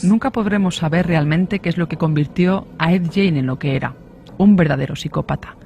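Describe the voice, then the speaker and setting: A man narrates a true-crime radio drama in a fairly high voice. A faint noisy ambience sits behind him. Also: brisk, creaky, dark tone, dull audio.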